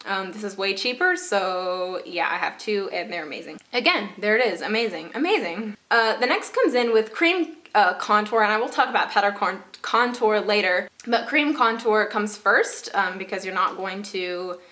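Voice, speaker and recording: A woman's voice: medium pitch, lively intonation, moderate pace, few pauses, bright, forceful tone. A young woman with a stuffy, congested voice chatting casually through her favorite makeup products, with lots of fillers and repeated praise. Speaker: beauty vlogger.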